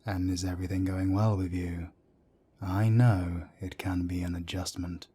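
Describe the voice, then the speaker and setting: A man playing a husky, soft-spoken werewolf elder. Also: low voice, little pitch movement, moderate pace. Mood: warm, curious. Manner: soft-spoken, gently chiding. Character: calm older male werewolf elder, fatherly. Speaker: council elder.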